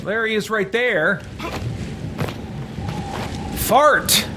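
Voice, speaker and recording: Man muttering through a game, slightly high voice. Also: expressive, very slow, background noise. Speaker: gamer hosting a roleplay let's play. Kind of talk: casual game commentary, thinking aloud.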